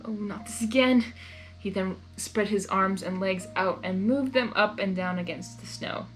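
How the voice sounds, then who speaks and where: Female story reader, mid-range voice, expressive and informal, chatty reactions, music underneath. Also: medium pitch, moderate pace. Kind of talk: expressive fan fiction read-aloud with chatty asides.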